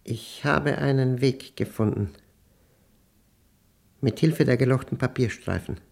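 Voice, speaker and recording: A man acting an obsessive, unravelling professor who dictates his diary in a German radio play, with emphatic, deliberate diction and short clipped phrases. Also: mid-range voice, vocal fry, darkish tone, a little hiss or hum. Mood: commanding, obsessive. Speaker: radio drama actor.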